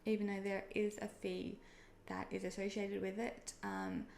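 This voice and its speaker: A young woman with an Australian accent walks through her topic in a relaxed, chatty vlog style. Her voice has a gravelly, fried edge at a middle pitch. She is recorded in an echoey room with a faint background hiss. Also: a little slow. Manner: friendly, chatty, with frequent "um" and "personally". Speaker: online seller and video creator. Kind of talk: casual explainer vlog.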